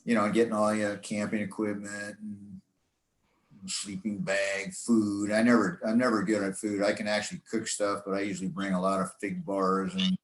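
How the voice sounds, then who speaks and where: A man giving an informal club talk about his hobby in a rambling, halting, folksy way. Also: fairly low pitch, flat delivery. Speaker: presenter, amateur radio hobbyist. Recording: echoey room sound.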